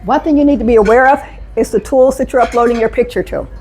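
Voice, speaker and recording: A woman giving a live safety talk to a conference audience, energetic and engaging, often asking the room questions. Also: on the high side, fast, husky, faint music behind. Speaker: safety speaker.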